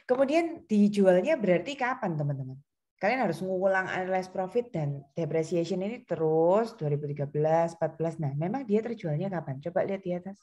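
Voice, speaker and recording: A woman's voice: fairly low pitch, animated, a little fast, warm tone. A woman teacher leading an online lesson, prompting students with questions. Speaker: accounting teacher. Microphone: video call.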